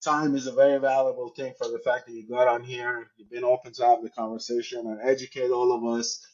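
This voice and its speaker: A man hosting a podcast, talking in a relaxed, friendly way with lots of "you know". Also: mid-range voice, fairly quick. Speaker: podcast host. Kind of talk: casual interview chat. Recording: dull lo-fi sound.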